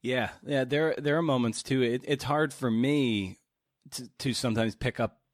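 Low-key male podcast interviewer, halting delivery. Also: no echo, studio quality. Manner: halting, repeats words, long winding questions. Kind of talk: conversational long-form interview.

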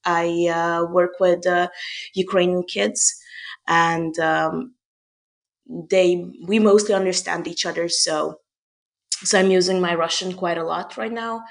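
This is a young woman from Hungary who speaks English. She answers questions in a measured, reflective way. She often pauses with "um" and restarts her sentences. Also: lowish voice, gravelly. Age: young adult. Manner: thoughtful, with frequent "um" and restarts. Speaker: podcast guest. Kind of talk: conversational interview answers.

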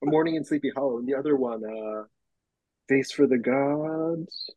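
A man's voice: mid-range voice, sing-song, normal speed, creaky, no echo, highs cut off. A man, a horror writer, chatting casually on a podcast in a rambling, self-deprecating way with many fillers.

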